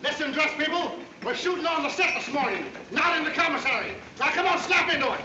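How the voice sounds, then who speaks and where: A man's voice: medium pitch, sing-song, a little slow, background noise. A man playing a bossy, impatient studio taskmaster in an old film comedy, barking out orders. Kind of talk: 1930s film comedy acting.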